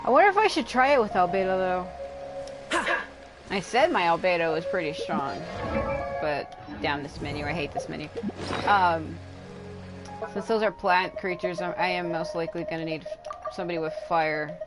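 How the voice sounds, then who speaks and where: A woman's voice: on the low side, normal speed, long pauses, poor audio. A woman livestreaming a game, giving casual, rambling, offhand commentary with some swearing. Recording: game music playing behind the voice. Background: game music.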